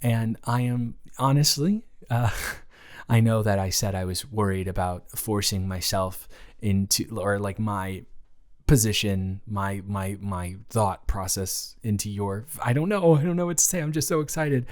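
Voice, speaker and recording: A man's voice: fairly low pitch, natural voice. A man playing a nervous, smitten roommate in an improvised romantic roleplay audio, rambling and stammering in intimate one-sided talk. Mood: giddy, excited. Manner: stammering, rambling, giddy, halting. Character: nervous, smitten male roommate confessing love.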